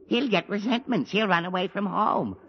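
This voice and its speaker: A man's voice: sits high, moderate pace, slight background noise. An actor playing an exasperated middle-aged father who sputters and grumbles, in 1940s radio acting. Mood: muttering. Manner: blustery, sputtering, often cut off mid-sentence. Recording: dull lo-fi 1940s radio recording.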